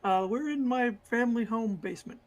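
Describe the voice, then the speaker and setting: A young adult man with a squeaky voice, taking part in a tabletop roleplaying game in a hesitant way. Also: a little fast. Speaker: player.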